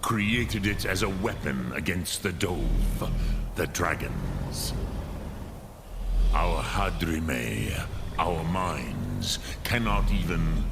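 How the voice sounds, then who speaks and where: A man voicing an ancient, wise male dragon in a fantasy video game, speaking patiently and deliberately with a weighty, gravelly voice and philosophical manner. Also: deep voice, big pitch swings, heavy background noise, heavily acted. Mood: grave, musing. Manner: slow, deliberate, ponderous, pausing between phrases.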